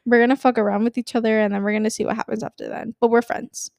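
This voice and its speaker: A woman's voice: a little high, little pitch movement, fast talker, gravelly, a little mellow, dry recording, clean studio sound. Laid-back young woman from Illinois. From Aurora, Illinois. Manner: laid-back, matter-of-fact advice. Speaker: podcast co-host. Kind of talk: casual chat.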